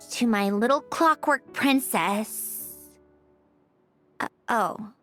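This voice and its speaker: An actress playing a proud, prickly, easily flustered young genius in a video game, curt and defensive in manner. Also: female voice, a little high, expressive, drawn-out words, a bit bright, faint music behind, full character voice. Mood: hesitant. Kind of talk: animated video game voice acting.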